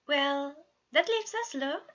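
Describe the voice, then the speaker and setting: A woman voicing a gentle, fatherly man in a story reading, cheerful, encouraging and soothing. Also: sits high, expressive, slow, muffled recording, voice pushed up, exaggerated voice. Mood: friendly concern.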